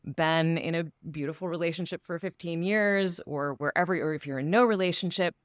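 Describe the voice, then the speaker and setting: A woman chatting in a casual interview, expressive and given to dramatizing feelings. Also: fairly low pitch, fairly quick, clear, resonant tone, dry recording, clean studio sound.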